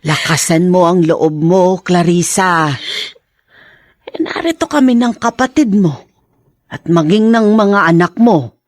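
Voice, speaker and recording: A woman's voice: lowish voice, measured pace, darkish tone, dry recording, studio quality, natural voice. An actress playing a protective older Filipino mother in a radio drama, comforting, with concerned exclamations.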